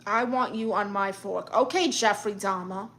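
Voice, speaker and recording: A woman's voice: mid-range voice, moderate pace, a bit bright, a little hiss or hum. A woman with a New York accent hosting a live stream, reading viewer comments aloud in a brash, sassy, joking way. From Brooklyn. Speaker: livestream host.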